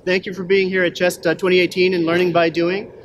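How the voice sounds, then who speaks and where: A man's voice: high-pitched, flat delivery, quick pace, poor audio. A man hosting jokey quiz interviews with a handheld mic in a conference center hallway. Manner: jokey, upbeat, chatty. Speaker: roving interviewer and quiz host. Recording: loud hallway background noise.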